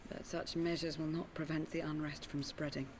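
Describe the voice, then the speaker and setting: A woman's voice: deep voice, little pitch movement, moderate pace, a little mellow, a little hiss or hum, lightly acted. Woman playing a grave, dutiful officer. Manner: formal, grave. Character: serious, dutiful knight's officer giving grim report. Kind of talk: scripted game cutscene acting.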